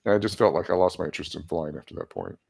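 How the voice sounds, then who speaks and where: A man's voice: low voice, racing, gravelly, darkish tone, slightly rough. A man in his seventies, a guest casually telling stories about his life and laughing at his own jokes. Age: 70s. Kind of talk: casual storytelling interview.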